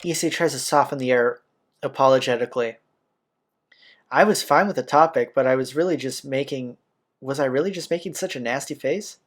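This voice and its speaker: A man's voice: mid-range voice, a little fast. A man reading a visual novel's narration aloud as a let's player, with occasional casual asides. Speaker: video game let's player.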